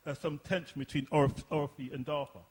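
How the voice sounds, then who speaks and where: A man's voice: fairly high pitch, fairly even intonation, a little fast, a crowd in the background. A man reading stage directions as the narrator of a radio play.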